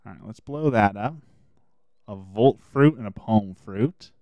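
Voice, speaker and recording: A man streaming a video game, chatting casually and thinking aloud as he plays, his voice a little hoarse. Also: on the low side, measured pace, a little mellow, no room sound, muffled recording. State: slightly hoarse. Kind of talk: casual gameplay commentary.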